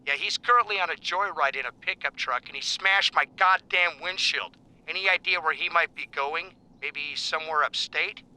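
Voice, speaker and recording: Tough sardonic investigator, audio thriller. Hard-edged, very forceful voice, slightly high, gruffly casual. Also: male voice, normal speed, low-quality recording. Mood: brisk, irritated. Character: tough, sardonic male investigator tailing a suspect. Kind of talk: audio thriller drama acting.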